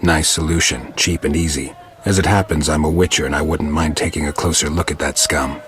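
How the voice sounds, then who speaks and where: Very deep, deadpan monster hunter. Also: male voice, fairly quick. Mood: dry, businesslike. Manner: deadpan, laconic. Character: gruff, stoic male monster hunter with dry wit. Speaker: player character of a fantasy game. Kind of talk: fantasy video game dialogue.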